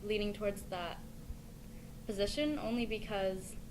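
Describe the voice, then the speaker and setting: A young woman, answering questions slowly and thoughtfully and often pausing on "I mean" and "like". Her pitch sits in a middling range. The recording carries a steady wash of background noise. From United States. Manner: thoughtful, hesitant, fillers like "I mean" and "like". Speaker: interviewee. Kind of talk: casual interview answers. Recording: noisy recording with ambient hiss.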